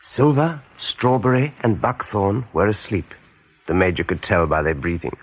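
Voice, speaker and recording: A man's voice: fairly low pitch, animated, moderate pace, dry recording, poor audio. A man narrating a radio play story expressively and with suspense.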